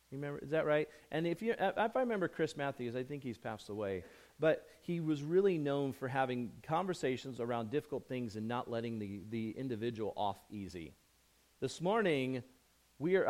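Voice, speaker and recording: A man preaching a conversational sermon as pastor, hoarse from a chest cold, chatty and self-joking with frequent restarts. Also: mid-range voice, darkish tone.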